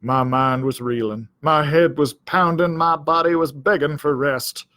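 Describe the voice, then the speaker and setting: A man narrating a children's story aloud as an expressive storyteller. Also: on the high side, a little slow, no echo, studio quality. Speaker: library storyteller reading aloud.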